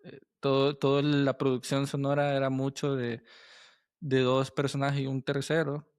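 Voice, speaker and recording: A man hosting a casual podcast interview, halting as he searches for words and often checking with tag questions. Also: medium pitch, monotone, a little mellow, smooth, no echo, studio quality.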